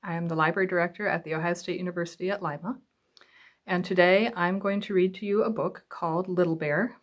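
A woman's voice: fairly low pitch, steady tone, fast. A middle-aged woman reading a picture book aloud to young children at home, expressively and with questions for the listener. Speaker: library director reading storytime. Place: small room at home.

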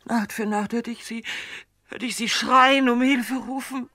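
Guilt-ridden daughter, fraught and defensive, husky breathy voice, radio play, dry close recording. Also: female voice, on the high side, fairly even intonation, unhurried, sharp tone, dry recording. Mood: distraught, pleading. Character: distraught, guilt-ridden daughter worn down by her dead mother. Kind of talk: dramatic radio play acting.

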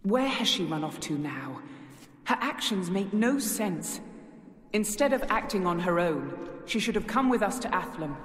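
A woman's voice: medium pitch, very animated, unhurried. A woman acting a stern, blunt seasoned general in a game cutscene, formal and authoritative. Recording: echoey stone hall reverb.

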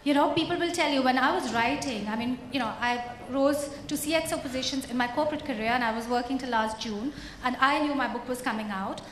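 A woman's voice: high-pitched, fast, hardly any pauses, fairly bright tone. A woman author on a conference panel, emphatic and motivational, speaking into a handheld mic. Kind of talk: panel discussion. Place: conference stage before an audience. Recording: echoey hall with faint noise.

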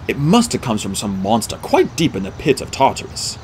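A man voicing a wise, kindly middle-aged centaur teacher in an audiobook, speaking in a measured, formal, grave way. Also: mid-range voice, wildly swooping pitch, a little mellow, slight background noise. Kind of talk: audiobook character dialogue.

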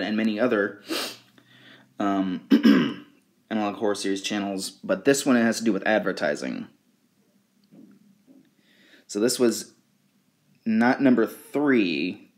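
Casual vlogger: male, fairly low voice, unscripted and slightly halting, presenting from behind the camera. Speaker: video creator showing fan merchandise. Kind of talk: casual show-and-tell vlog.

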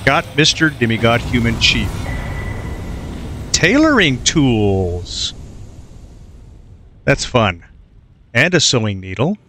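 A man's voice: medium pitch, big pitch swings, takes it slowly, pauses often, sharp tone, a little hiss or hum. A man casually commenting to himself while playing a video game, easygoing and muttering with plenty of fillers. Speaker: let's play gamer.

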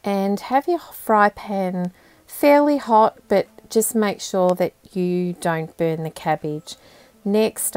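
Slow, homely Australian woman cooking. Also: lowish voice, no echo. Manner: relaxed, homely and unhurried, plain step-by-step instructions. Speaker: cooking channel host. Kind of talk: home cooking tutorial. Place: indoors at home.

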